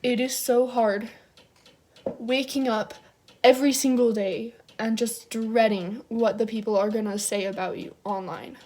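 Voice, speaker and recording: A woman's voice: fairly high pitch, fairly even intonation, unhurried, mellow. A young woman delivering a mock-earnest, tearful-sounding apology video as a youtuber.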